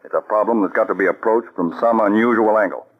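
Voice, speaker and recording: An actor playing a calm, methodical male counterspy chief who gives crisp orders, in 1940s radio acting. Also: lowish voice, quick pace, very noisy, natural voice. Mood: steady, commanding. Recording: muffled old radio recording.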